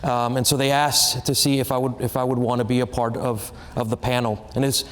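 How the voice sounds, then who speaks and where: A man moderating a panel on stage, a self-described storyteller who talks in halting restarts. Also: medium pitch, little pitch movement. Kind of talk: speaking on stage at an event. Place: large hall with a live audience. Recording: reverberant hall, faint noise.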